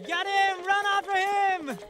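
A man playing an excitable sweet seller in a children's cartoon, calling out in exclamations. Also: very high-pitched, a little slow, music in the background. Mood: panicked. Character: excitable sweet seller, loudly hawking and hollering.

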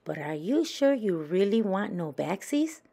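A woman's voice: medium pitch, big pitch swings, a little slow, gravelly, dark tone, pitched above the performer's own voice, exaggerated voice. A woman voicing a young girl badger from a children's storybook, firm, shrewd and matter-of-fact, speaking emphatically. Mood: guarded.

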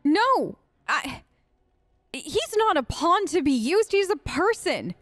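A woman's voice: extremely high pitch, big pitch swings, takes it slowly, fairly bright tone, no echo, clean studio sound. A woman improvising in-character commentary for a role-play let's play, conversational and hesitant as she thinks aloud.

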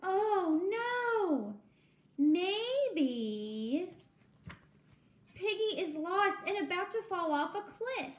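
An earnest male elephant from a picture book , voiced by a woman. He frets dramatically with big swings in pitch. The sound is roomy and homemade. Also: very animated, very slow, exaggerated voice. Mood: worried. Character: anxious, loyal male elephant friend, storybook. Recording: echoey home room.

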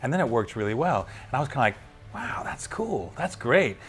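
A man's voice: mid-range voice, big pitch swings, a little fast, fairly bright tone, faint music behind. A middle-aged male voice actor talking casually backstage in an interview, friendly and self-deprecating, often restarting his sentences. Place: backstage room with wooden walls at an awards event.

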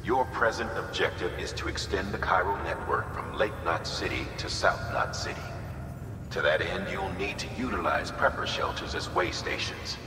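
A man voicing a stern commander giving formal, measured mission briefings in a video game. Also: low-pitched, background noise, close to an everyday voice. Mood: firm, businesslike. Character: stern, authoritative male commander briefing a courier.

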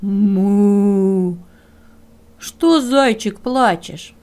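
A woman's voice: mid-range voice, very slow, darkish tone. A woman voicing a big, helpful male bull in a ponderous, mooing storybook voice.

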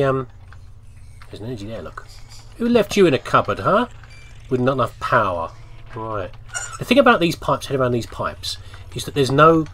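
A man's voice: mid-range voice, fairly bright tone, a little hiss or hum. A man giving casual gameplay commentary, rambling and thinking aloud as he talks to himself. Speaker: gamer commentating on playthrough.

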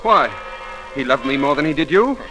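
A man's voice: fairly high pitch, lively intonation, moderate pace, bright, forceful tone, faint music behind, rough recording. A man playing a brooding, wounded, passionate young stable boy in a 1940s radio drama. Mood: bitter, pleading.

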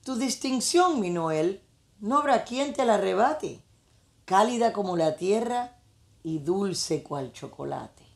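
A giant, watchful sunflower from a storybook, played by a woman. It talks slowly, with strength and comfort. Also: mid-range voice, lively intonation, gravelly.

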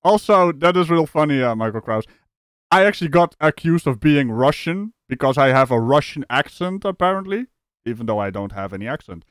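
A man's voice: a little high, fairly quick, no echo. Mocking male streamer, dry sound. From Netherlands. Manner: animated, mocking, laughs often. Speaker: streamer host. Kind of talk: live reaction commentary.

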